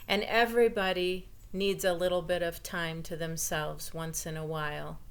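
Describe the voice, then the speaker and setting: A woman reading a children's picture book aloud in an expressive, deliberate way. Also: on the low side. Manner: slow, deliberate, explanatory delivery for children. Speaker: storybook reader.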